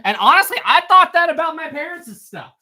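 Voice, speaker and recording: A man in his thirties talks casually on a live stream. His voice is bright and lively, swinging high and low as he teases viewers. He laughs often and keeps clearing his throat. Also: fairly quick. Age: 30s. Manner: playful and teasing, laughs often. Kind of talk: casual livestream chat reading comments.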